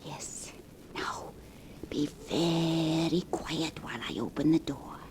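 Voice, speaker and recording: An actress playing a deluded old recluse aunt in a 1940s radio horror drama, doting and fussing tenderly. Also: female voice, low-pitched, takes it slowly, in a whisper. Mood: hushed, fussing. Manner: hushed, fussing, tender, as if humoring someone present.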